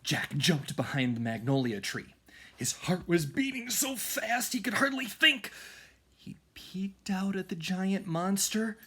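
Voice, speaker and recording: A man reading a children's storybook aloud in a dramatic manner, often hushed for suspense. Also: high voice, sing-song, unhurried, husky. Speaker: music teacher reading aloud.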